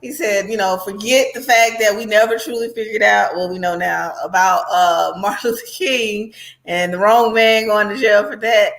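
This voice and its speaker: A woman's voice: medium pitch, fairly even intonation, normal speed, vocal fry, fairly bright tone. A woman hosting casual reaction commentary, talking straight to her viewers in a conversational, sardonic way. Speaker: commentary host.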